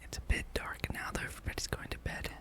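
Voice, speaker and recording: This is a gaming host speaking entirely in a whisper for ASMR. The delivery is a rambling, think-aloud commentary on gameplay, kept hushed throughout. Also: fast.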